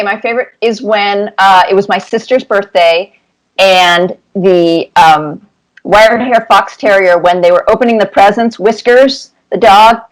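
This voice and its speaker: A woman cartoonist answering interview questions with casual anecdotes, telling them in an animated way and repeating words for emphasis. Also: medium pitch, poor audio.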